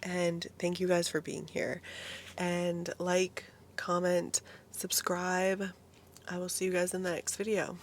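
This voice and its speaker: A young woman vlogging about fitness in a casual, chatty way, swearing freely, her voice hoarse because she is losing it. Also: fairly low pitch, monotone, slow pace, slight background noise. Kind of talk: casual solo talk to camera.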